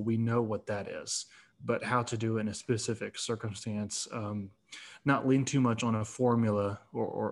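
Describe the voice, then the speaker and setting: A man in his twenties, a guest author in a casual interview, talking earnestly with many restarts and fillers. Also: fairly low pitch. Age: 20s.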